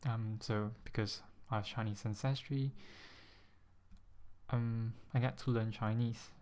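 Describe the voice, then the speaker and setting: A young man from Singapore with a Singaporean-tinged English accent, talking to camera in a casual vlog, hesitant and a bit nervous, pronouncing words with deliberate care. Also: medium pitch, steady tone, darkish tone, clean tone. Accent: Singaporean-influenced English, carefully pronounced. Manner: hesitant, many ums, a little nervous; careful, deliberate pronunciation. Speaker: ASMR channel host. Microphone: USB desk microphone.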